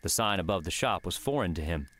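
A man's voice: sits low, animated, fast, fairly bright tone. A man reading scripted fiction as the narrator of an audiobook.

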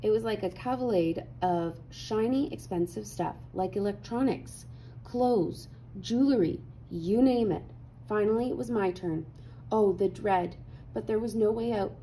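A woman reading a children's picture book aloud with animated, dramatic emphasis. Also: medium pitch, a little slow, a little hiss or hum, rough recording. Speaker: read-aloud host.

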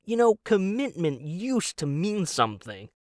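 A man's voice: sits high, very animated, unhurried, dry recording, studio quality, pitched above the performer's own voice. A man playing a defensive, petulant young husband in an audio drama, argumentative and hesitant, stammering as he makes his points. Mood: wounded.

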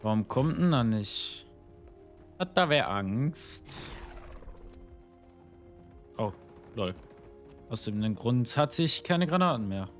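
A young man giving casual, rambling commentary while playing a shooter, with a slightly hoarse voice from a cold, swearing often and filling gaps with ums. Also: mid-range voice, expressive, slow, pauses often. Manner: chatty, swears often, rambling with many fillers. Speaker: gaming YouTuber. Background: game music and gunfire.

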